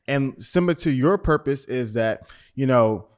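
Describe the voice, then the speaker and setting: A man's voice: medium pitch, lively intonation, normal speed, clean tone. A man hosting a podcast, affirming his guest, laughing often and asking reflective questions. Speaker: podcast host. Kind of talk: interviewer chat.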